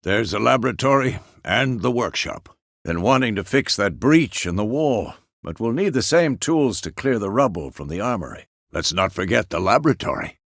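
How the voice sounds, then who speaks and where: A man playing a gruff, fatherly old monster hunter in a fantasy video game, with a gravelly voice and a terse, measured, authoritative manner. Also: lowish voice, animated, a bit bright, no echo, clean studio sound.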